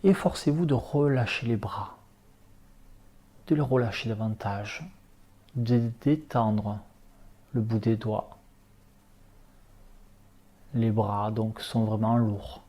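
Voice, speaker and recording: Man, relaxation instructor, guided meditation, calm short phrases, very slow pace, mellow medium-pitched voice. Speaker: yoga nidra relaxation instructor.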